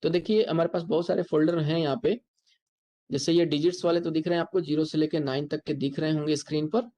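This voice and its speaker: An instructor with an Indian accent giving a software tutorial lecture, explaining step by step and repeating points. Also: mid-range voice, fairly even intonation, quick pace.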